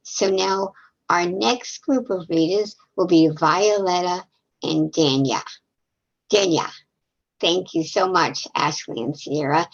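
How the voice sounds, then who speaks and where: Woman hosting a storytime over a video call, lowish voice, muffled call audio. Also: takes it slowly, gravelly. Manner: encouraging, thanks each reader. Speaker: storytime host. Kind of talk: introducing readers.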